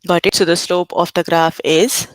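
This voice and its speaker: A woman's voice: lowish voice, fairly even intonation, normal speed, no room sound, rough recording. A young adult woman teaching a live online math lesson over a computer mic, stopping often to check that students follow. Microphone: computer mic on an online call.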